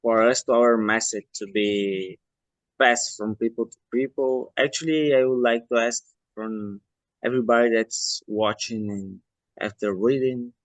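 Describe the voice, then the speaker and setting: A man interviewing an author, speaking slowly and earnestly and addressing the viewers directly. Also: medium pitch, no room sound, low-quality recording. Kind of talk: interview questions.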